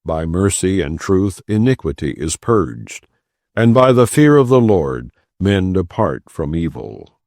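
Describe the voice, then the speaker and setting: A man narrating an audiobook of scripture in a solemn, formal, measured reading style. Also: deep voice, very animated, a little mellow, dry recording, clean studio sound.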